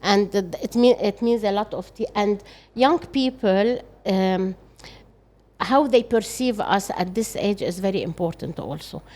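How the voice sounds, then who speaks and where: A woman's voice: medium pitch, a little mellow. An older woman with an Arabic accent, a native Arabic speaker, talking as an interview guest in an earnest way, often stopping and restarting her sentences. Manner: earnest, halting with frequent restarts. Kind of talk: reflective interview conversation.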